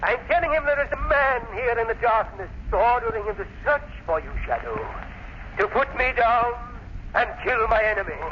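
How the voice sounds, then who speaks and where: A man's voice: very high-pitched, expressive, moderate pace. An actor playing a vengeful, theatrical mad professor who taunts his enemy, in a 1930s radio thriller style. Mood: gloating, menacing. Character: vengeful, gloating mad professor, crippled villain. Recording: noisy muffled 1930s radio recording.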